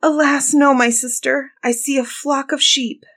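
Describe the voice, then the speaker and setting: A woman voicing an anxious, watchful sister in a fairy tale, reporting in a measured, plaintive manner. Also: high-pitched, steady tone, a bit bright, pitched above the performer's own voice. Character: anxious sister Anne, watchful lookout on tower. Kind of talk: dramatized fairy-tale storybook reading.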